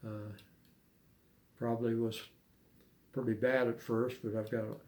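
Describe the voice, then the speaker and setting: An older man chatting casually as an interviewee, recalling things in a halting, hesitant way with long pauses. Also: medium pitch, flat delivery, a little mellow. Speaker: amateur harmonica player being interviewed. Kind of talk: casual reminiscing chat.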